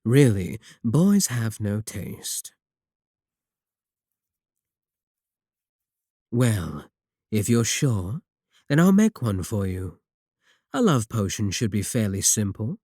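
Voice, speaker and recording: A man playing a courteous, manipulative warlock shopkeeper in a roleplay audio, coaxing and flattering his guest with polite, unhurried talk. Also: lowish voice, dry recording, clean studio sound, heavily acted. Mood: flirtatious, coaxing. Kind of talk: second-person roleplay audio acting.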